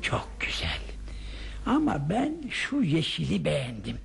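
An actor playing a fussy, eager old man in a radio play, with a husky, gravelly voice and a chatty, hesitant manner. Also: a little high, wildly swooping pitch, a little slow, full character voice. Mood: wistful. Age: older. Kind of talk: radio theatre acting.

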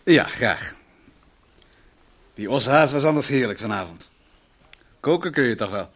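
A man's voice: medium pitch, expressive, measured pace, fairly bright tone. A man playing a worried, earnest husband in a radio play, a brooding company economist given to rhetoric. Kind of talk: radio play acting, domestic dialogue.

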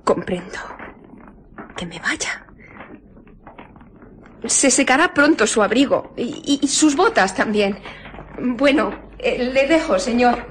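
A woman's voice: fairly high pitch, animated, slow, pauses often, a little hiss or hum. Chatty, fretful Spanish innkeeper woman. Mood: flustered, deferential. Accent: Spain. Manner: rambling, muttering asides to herself. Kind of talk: radio drama acting.

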